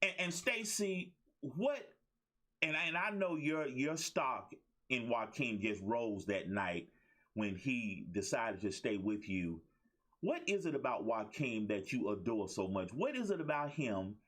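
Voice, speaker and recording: A man's voice: medium pitch, expressive, a little slow, a bit bright. Guy hosting a talk show, voice swings up and down a lot, jokey and teasing. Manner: playful, teasing, laughs often. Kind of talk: casual interview chat.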